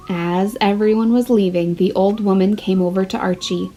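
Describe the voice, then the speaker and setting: A woman's voice: medium pitch, steady tone, normal speed, warm tone, a little hiss or hum. A woman, a first grade teacher, reading a picture book expressively and putting questions directly to young children.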